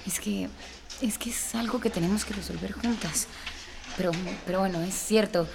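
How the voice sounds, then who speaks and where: An earnest young Costa Rican woman played by an actress, mid-pitched and conversational. She sounds like a devoted, conflicted radio drama character, with clear noise behind her. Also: moderate pace, close to an everyday voice. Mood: torn, affectionate.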